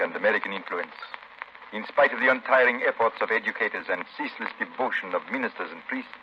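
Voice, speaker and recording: An actor playing a courtly, grave French-speaking plantation host, talking formally in a 1930s radio drama. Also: male voice, on the low side, sharp tone. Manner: formal, polite, ominous storytelling. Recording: muffled, hissy 1930s radio recording.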